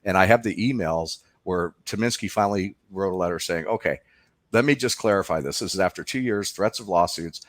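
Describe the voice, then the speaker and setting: A man's voice: low voice, fairly quick, no echo. A man speaking as a filmmaker guest in a conversational interview, explaining things emphatically.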